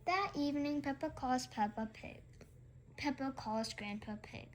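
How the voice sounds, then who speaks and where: A woman's voice: sits high, a little slow, a little hiss or hum. A woman reading a children's story aloud as a narrator. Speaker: storybook reader.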